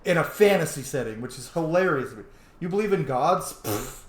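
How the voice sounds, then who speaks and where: A man's voice: on the high side, animated, brisk, roomy sound. A man giving casual, informal commentary on a game he is playing, with explanatory asides and some swearing. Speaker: gamer commentating a playthrough.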